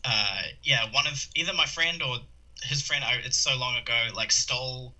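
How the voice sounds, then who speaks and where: A man's voice: medium pitch, moderate pace, sharp tone, low-quality recording. A young man from New Zealand with a New Zealand accent, chatting as a podcast guest, rambling with lots of fillers and restarts. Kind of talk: casual chat.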